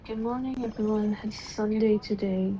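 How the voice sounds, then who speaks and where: A woman's voice: steady tone, normal speed, dark tone, slight background noise. A woman livestreaming from home in a subdued, casual chat, speaking into an earbud microphone while she plays a game. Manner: low-key, subdued. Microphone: wireless earbuds mic on a phone. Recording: dull lo-fi earbud mic, game music behind. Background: game music.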